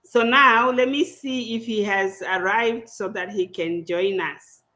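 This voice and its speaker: A woman with a Zimbabwean accent, a native Shona speaker, hosting an online panel in a deliberate, explanatory, conversational way. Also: mid-range voice, sharp tone. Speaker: podcast host. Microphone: video call.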